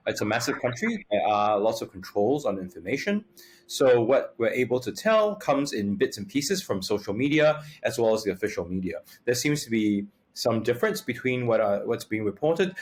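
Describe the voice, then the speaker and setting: A man giving an interview answer as an analyst, hesitant and halting with many fillers. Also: fairly low pitch.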